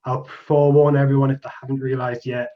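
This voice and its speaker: A man's voice: mid-range voice, flat delivery, quick pace. A young man from Newcastle with a Geordie accent, casually presenting a lecture over a video call with frequent ums. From Newcastle, England. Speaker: exercise researcher presenting. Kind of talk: online presentation. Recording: muffled video call audio.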